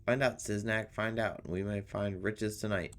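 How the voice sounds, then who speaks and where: A man reads out a scheming robber from a visual novel. His voice sits fairly low, and he talks in conspiratorial slang, eager to get at the gold. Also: fairly even intonation, moderate pace, faint music behind, natural voice. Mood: greedy, eager. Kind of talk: visual novel character reading.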